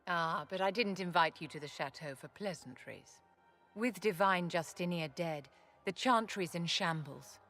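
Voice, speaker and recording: An actress playing a haughty, commanding aristocratic enchantress in a video game, speaking with measured, condescending politeness. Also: female voice, on the low side, a bit bright, faint music behind. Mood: poised, gracious. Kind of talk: dramatic video game acting.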